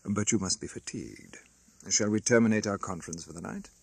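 A man's voice: fairly low pitch, brisk, gravelly, slightly rough, dry recording. A man voicing a haughty, cruel aristocratic uncle in an audiobook, imperious and coldly polite. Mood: cold, polished disdain. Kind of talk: audiobook character acting.

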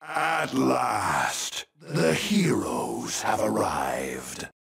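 A man playing a menacing, gloating demon lord in a video game, with a husky, gravelly voice and a grandiose, commanding, theatrical delivery. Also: medium pitch, big pitch swings, extremely slow, a bit bright, raised voice, exaggerated voice. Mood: smug, scheming. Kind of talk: video game villain acting.

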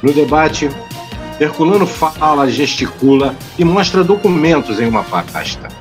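A man's voice: a little high, lively intonation, a little slow, over music. A man reading scripted scene narration for an audio drama as its narrator.

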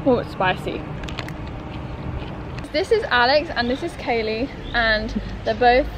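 A young woman chatting casually to a handheld camera at arm's length, bubbly and quick with asides. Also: fairly high pitch, lively intonation, background noise. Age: young adult. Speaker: vlogger. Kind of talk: casual vlog chat. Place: outdoors in a town, lawns and streets.

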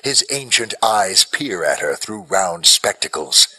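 A man's voice: sits low, big pitch swings, unhurried, sharp tone, breathy edge. A man reading scripted, dramatic narration as the narrator of an audio drama. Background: music.

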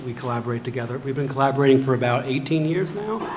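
A man's voice: mid-range voice, expressive, fast talker, darkish tone, long reverb tail, a little hiss or hum. A man introducing himself plainly and dryly as a panelist and teacher. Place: gallery stage before a large audience. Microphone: table mic.

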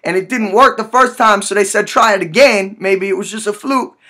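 A man's voice: sits high, moderate pace, pushed and bright. A man in his twenties playing a hurt, naive young man on a prank call, whining, pleading and stammering as he repeats himself. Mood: pleading, panicked. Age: 20s. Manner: whiny, pleading, repetitive, stammering. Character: hurt, frantic, naive young man with bleeding swollen lip. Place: small room indoors, at a desk.

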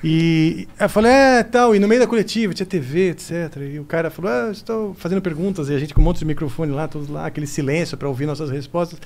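A man's voice: a little high, quick pace, few pauses, darkish tone. A man in his forties, a guest musician, telling jokey stories in a casual podcast chat in a studio. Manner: storytelling, joking. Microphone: studio mic on boom arm.

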